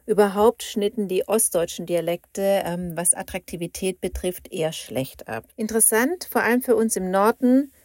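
A woman's voice: mid-range voice, normal speed, hardly any pauses, darkish tone, no echo, studio quality. A female reporter giving an informative, lightly conversational news report.

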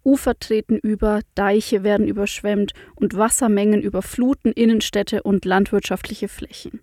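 A woman with a Franconian accent presenting the news and speaking in a clear, measured way, with a rolled R. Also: medium pitch, fairly even intonation, warm tone, no room sound, clean studio sound.

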